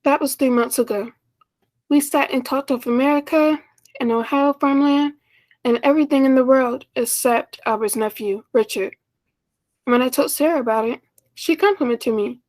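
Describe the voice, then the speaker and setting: Woman acting high-voiced, earnest lonely schoolteacher in radio drama. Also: normal speed, a little mellow, close to an everyday voice, poor audio. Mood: reflective narrating. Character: lonely, earnest schoolteacher of thirty-six, apologetic and tender. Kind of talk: 1940s radio play acting.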